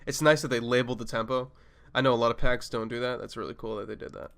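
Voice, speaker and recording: A man with a nasal voice from a cold, giving a laid-back, casual review of a sample pack with short excited reactions. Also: medium pitch, quick pace, creaky, clean tone. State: sick with a cold. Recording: music playing behind.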